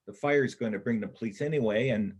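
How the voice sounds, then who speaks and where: Husky, earnest doomed man played by a man. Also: mid-range voice, fast talker, a little mellow, lightly acted. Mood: pleading, resigned. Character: self-sacrificing doomed man, earnest and wry. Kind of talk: amateur reader's theater acting.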